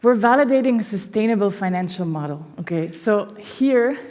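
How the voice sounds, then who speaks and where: A woman's voice: mid-range voice, expressive, normal speed, darkish tone. A woman from Belgium giving an enthusiastic public presentation for a foundation, peppering her talk with "you know". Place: symposium hall. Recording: long hall reverb.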